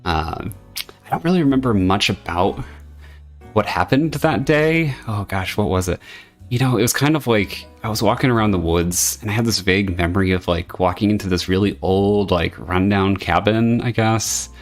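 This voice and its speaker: A man's voice: on the low side, moderate pace, faint music behind. A man streaming from home, chatting earnestly and rambling, with frequent hesitations. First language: English. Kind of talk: casual debut stream chat.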